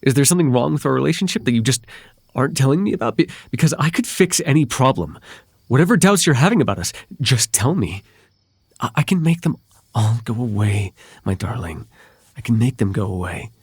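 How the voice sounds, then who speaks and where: A man playing a possessive, lovelorn fae royal heir in an intimate ASMR roleplay, with halting, tender delivery and formal, old-fashioned wording. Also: medium pitch, sing-song, husky, no echo, studio quality. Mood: desperate, pleading.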